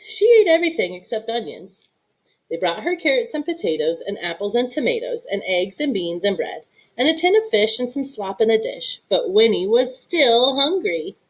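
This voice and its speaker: A woman narrates a children's story aloud. Her mid-pitched voice moves in an animated, expressive way, in the manner of a storyteller. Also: moderate pace, rough recording. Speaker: storybook reader.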